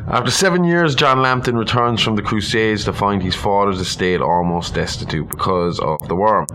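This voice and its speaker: A man's voice: on the low side, moderate pace, bright, forceful tone, slight background noise. A man co-hosting a podcast, reading a legend aloud and adding informal, irreverent, sweary commentary. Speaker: podcast co-host.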